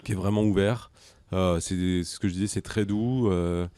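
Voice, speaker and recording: Male interview guest, deep warm tone, hesitant conversational delivery of a school director. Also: steady tone, no room sound. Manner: hesitant, halting explanations.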